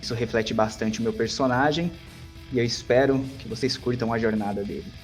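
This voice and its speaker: Male RPG player, medium-pitched, presenting his character to the audience over soft guitar. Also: a little fast. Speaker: player in a role-playing audio drama. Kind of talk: character introduction to listeners.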